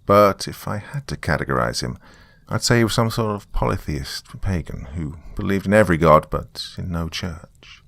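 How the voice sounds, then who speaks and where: A man narrating a scripted first-person story in a measured, confiding way. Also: low-pitched, expressive, vocal fry, a bit bright, dry recording.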